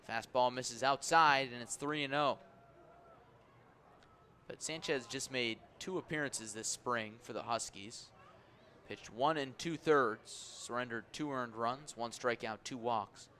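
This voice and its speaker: A man's voice: sharp tone, a little hiss or hum. A man calling baseball play-by-play for radio in a live sports commentary style. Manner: measured between pitches, raised and urgent on plays. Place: stadium press area on second deck.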